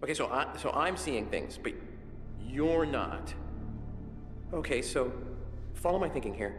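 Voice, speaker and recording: A man's voice: medium pitch, lively intonation, measured pace, lightly acted. An actor playing an anxious, brainy young American man in a horror game, hesitant as he thinks aloud. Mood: tense, reasoning. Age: young adult. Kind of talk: video game dramatic acting. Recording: echoey metal hall, loud music.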